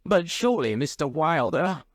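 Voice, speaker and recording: Man as a flustered, browbeaten schoolmaster, high-pitched. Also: big pitch swings, moderate pace, dry recording, clean studio sound. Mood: flustered, yielding. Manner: flustered, deferential. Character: agitated, browbeaten older schoolmaster doctor, indecisive.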